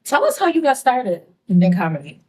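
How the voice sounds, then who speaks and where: A woman's voice: medium pitch, huge pitch swings, moderate pace, husky. A woman hosting a casual interview, asking curious, playful questions. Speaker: host.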